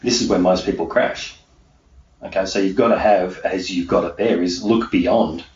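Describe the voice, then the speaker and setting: Low-voiced Australian man in an echoey room. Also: steady tone, normal speed, darkish tone. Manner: emphatic, poses rhetorical questions and answers them. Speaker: podcast host and mindset coach. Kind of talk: conversational motivational interview. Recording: echoey room, muffled, noisy background.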